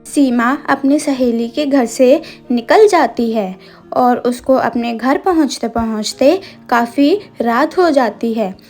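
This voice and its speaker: A woman's voice: fairly high pitch, slow, a little mellow, faint music behind. A woman narrating a scripted cartoon story as the storyteller.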